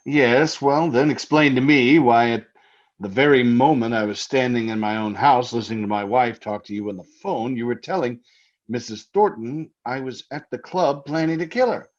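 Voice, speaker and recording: An actor playing a skeptical, protective, indignant husband in a radio mystery, with a blustery, emphatic delivery. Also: male voice, medium pitch, moderate pace, lo-fi sound, natural voice. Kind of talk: old-time radio mystery drama acting.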